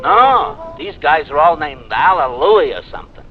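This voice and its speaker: A man's voice: a little high, lively intonation, moderate pace, slight background noise. A man playing a dim, flustered police sergeant in an old radio drama, bumbling and comic. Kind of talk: 1940s-50s radio drama acting. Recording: tinny old-time radio recording with music.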